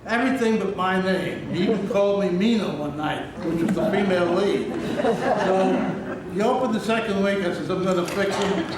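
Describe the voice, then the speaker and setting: A man's voice: high voice, moderate pace, a crowd in the background. A man telling joking anecdotes to a live audience in a chatty, casual way. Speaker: stage performer telling anecdotes. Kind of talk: casual stage storytelling. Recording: echoey hall from far off, noisy.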